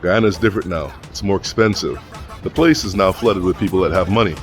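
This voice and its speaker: A man's voice: low voice, fairly quick, faint music behind. A man vlogging casually as he walks city streets, talking loosely and thinking aloud into a handheld camera mic. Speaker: travel vlogger. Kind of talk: casual walk-and-talk vlog. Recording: outdoor street noise. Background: street traffic and city ambience.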